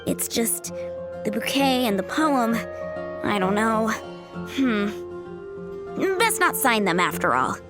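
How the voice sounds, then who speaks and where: A shy young game heroine with a high voice. She is devoted, polite and fretful, speaking hesitantly over music. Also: big pitch swings, slow pace, long pauses. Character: shy, earnest young woman admirer, anxious and devoted. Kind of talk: video game character acting. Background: background music.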